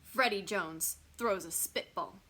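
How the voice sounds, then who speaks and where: A woman reading a children's bedtime story expressively, with dramatic, deliberate phrasing and pauses for suspense. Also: mid-range voice, pushed and bright, slightly rough. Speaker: storybook reader.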